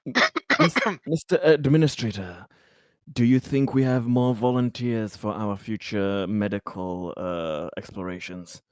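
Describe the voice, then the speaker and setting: A man chatting as a player in a tabletop game, joking in a halting, mock-formal deadpan. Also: fairly low pitch, no room sound, clean studio sound. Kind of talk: casual joking table talk.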